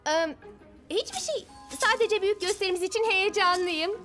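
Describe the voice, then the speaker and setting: A woman's voice: extremely high pitch, expressive, moderate pace, bright, forceful tone, heavily acted. A woman voicing an eager teenage monster cheerleader girl in a dubbed cartoon, perky and encouraging. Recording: music behind the voice.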